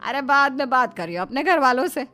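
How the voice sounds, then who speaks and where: A woman's voice: sits high, animated, a little fast, pushed and bright, soft background music. A woman voicing a bustling, bossy village mother-in-law in a cartoon story, given to exclaiming and calling out.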